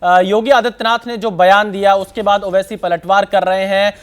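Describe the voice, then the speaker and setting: A man anchoring a TV news debate, briskly introducing guests and putting questions to them. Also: high-pitched, flat delivery, clear, resonant tone.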